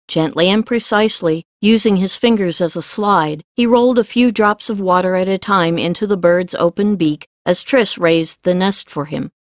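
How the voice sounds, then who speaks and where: A woman reading scripted fiction as an audiobook narrator, with measured storytelling delivery. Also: fairly low pitch, no echo, clean studio sound.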